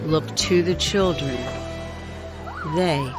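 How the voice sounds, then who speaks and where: Woman, poetry recitation, measured rhythm, slow delivery, lowish pitch, clear music backing. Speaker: writer reading own work. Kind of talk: rhyming poem recitation.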